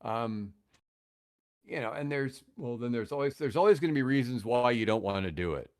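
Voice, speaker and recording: A mature man talks casually as a guest on a podcast. His pitch sits in an ordinary middle range, and he thinks aloud, wandering through his points and pausing partway. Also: expressive. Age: middle-aged or older. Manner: reflective, rambling, pauses mid-thought. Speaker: podcast guest, radio host. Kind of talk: casual chat.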